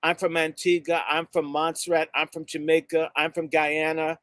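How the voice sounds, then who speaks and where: A man's voice: on the high side, completely flat delivery, moderate pace, fairly bright tone, no room sound, studio quality. A man from the United States giving an impassioned, blunt speech to a live audience, stretching out words for emphasis and jumping from topic to topic. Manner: fiery and emphatic, drawing out key words; blunt and insulting, jumps between topics. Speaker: speaker addressing a gathering.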